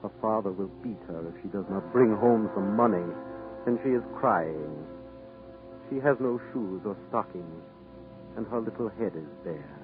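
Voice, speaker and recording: An actor playing a compassionate, sorrowful statue prince in a 1940s radio drama, solemn and pleading. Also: male voice, fairly low pitch, a little slow, phone-quality sound, natural voice. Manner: solemn, pleading, repeats himself. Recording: 1940s radio broadcast over music.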